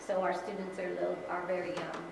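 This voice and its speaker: A middle-aged woman from the Philippines talks informally to a Sunday school class, chatty and given to laughing, restarting her phrases as she goes. She is picked up by a distant camera in a large church sanctuary, so her voice rings with long reverb. Steady background noise sits under her. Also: lowish voice, fast talker, vocal fry. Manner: chatty, laughs often, self-correcting. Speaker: guest speaker. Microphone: far camera. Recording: echoey church hall from far off.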